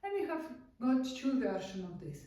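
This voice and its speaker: Woman, Russian accent, instructor, soft mellow tone, heard from a distance in a very echoey room. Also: fairly high pitch, very animated, dull audio. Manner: non-native English with broken grammar, halting restarts. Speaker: art-therapy instructor. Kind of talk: casual online lesson.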